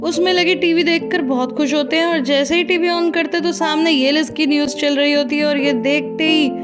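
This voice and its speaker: High-voiced woman recapping a drama. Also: fairly even intonation, fairly quick. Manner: chatty, speaks directly to viewers. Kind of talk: conversational plot recap. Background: background music.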